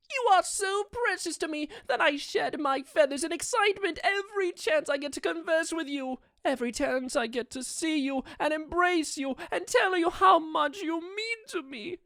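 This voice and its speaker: A woman's voice: sits high, dry recording, clean studio sound. A woman voicing a majestic, doting male owl demon prince in a comforting audio roleplay, with regal, affectionate and theatrical phrasing. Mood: impassioned, loving.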